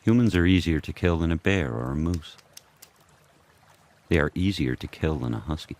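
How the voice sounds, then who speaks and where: A man playing a fierce, brooding sled dog turned pack leader, telling his tale in terse, deliberate phrases in an audio drama. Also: expressive, darkish tone. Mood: fierce, contemptuous. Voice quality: very deep, husky. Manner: terse, deliberate first-person narration in short phrases.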